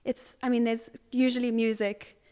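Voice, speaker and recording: A young woman from Zimbabwe, an artist, answering interview questions in a relaxed way with many pauses, fillers and restarts. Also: fairly high pitch. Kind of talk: conversational interview.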